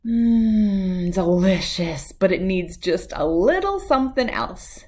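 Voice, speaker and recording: A woman voicing a boastful, excitable male cat who fancies himself a cook, with a dramatic delivery, in a children's storybook. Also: mid-range voice, slow. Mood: pleased. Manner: excitable, dramatic, self-satisfied.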